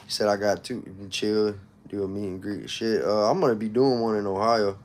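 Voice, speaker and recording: A man's voice: on the low side, fairly even intonation, moderate pace, a little mellow. A man in his thirties hosting a casual livestream, telling personal stories in informal street slang and repeating himself for emphasis. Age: 30s. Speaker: livestream host.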